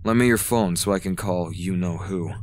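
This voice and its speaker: A man playing a wry, world-weary con artist in a game fan dub, speaking in a dry, deadpan way. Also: low voice, normal speed, natural voice. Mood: matter-of-fact.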